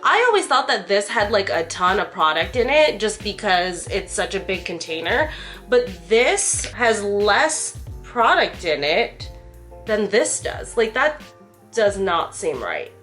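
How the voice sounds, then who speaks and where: A woman's voice: medium pitch, sing-song, a little slow, a bit bright, soft background music. Nasal, congested young woman vlogger. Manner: chatty, lots of "like" fillers. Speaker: beauty vlogger. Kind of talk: casual product review.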